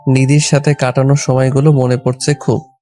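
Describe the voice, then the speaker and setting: A man's voice: mid-range voice, level tone, moderate pace, dark tone. A man reading a scripted first-person story as its narrator and lead character. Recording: background music under the voice. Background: music.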